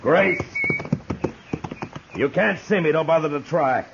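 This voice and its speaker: An actor playing a stern, steady frontier marshal in a 1950s radio western, speaking tersely and with command. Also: male voice, fairly high pitch, slow, creaky, breathy edge, full character voice. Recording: dull, noisy vintage radio recording.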